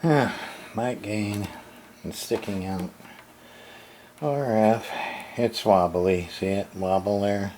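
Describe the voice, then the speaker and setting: Slow-talking man muttering over a noisy recording. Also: lowish voice, a little mellow. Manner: muttering to himself, grumbling, mild swearing. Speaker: hobbyist radio repairer presenting an unboxing. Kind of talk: casual hands-on unboxing commentary. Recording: noisy home recording with handling clicks.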